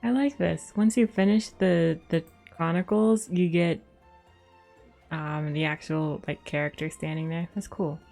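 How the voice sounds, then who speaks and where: Slow-paced, low-voiced woman streamer. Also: steady tone, vocal fry, mellow. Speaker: game streamer. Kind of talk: casual live game commentary. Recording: game music behind the voice.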